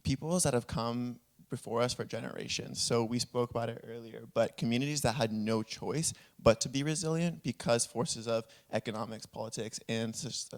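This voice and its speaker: Man with a creaky voice, presenting earnestly. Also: mid-range voice. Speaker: food rescue nonprofit speaker, panelist. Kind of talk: conference presentation.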